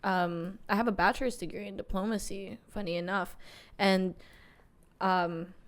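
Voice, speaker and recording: A woman's voice: mid-range voice, steady tone, moderate pace. A woman in her twenties from the Philippines, chatting casually as a podcast co-host and often searching for words. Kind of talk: casual chat.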